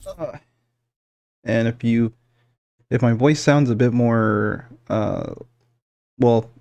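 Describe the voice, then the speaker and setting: A man's voice: medium pitch, slow pace, gravelly, darkish tone, no echo, muffled recording. A man hosting a casual let's-play, groggy from just waking up, rambling with many fillers and restarts. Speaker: gaming channel host.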